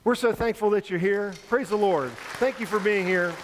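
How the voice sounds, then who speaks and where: A man with a fairly high speaking voice greets his congregation from the front of a large church sanctuary. He talks into a handheld mic with an easy, informal manner, and the sound trails off in the long reverb of the hall. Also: moderate pace, noisy background. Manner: warm, informal, with fillers. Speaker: pastor.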